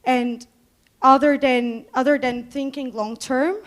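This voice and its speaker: Young woman from Turkey, higher-pitched, slow and hesitant with fillers. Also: fairly even intonation. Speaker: student asking a question.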